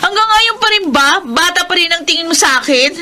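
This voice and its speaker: Isang babaeng aktres na Pilipina na gumaganap bilang mapait at rebeldeng labingwalong taong gulang na anak sa drama sa radyo, palasagot at mapanuya. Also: female voice, extremely high pitch, lively intonation, a little fast, bright, forceful tone, exaggerated voice. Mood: angry, accusing. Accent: Filipino. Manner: confrontational, sarcastic. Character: bitter, rebellious eighteen-year-old daughter. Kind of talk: Filipino radio drama acting.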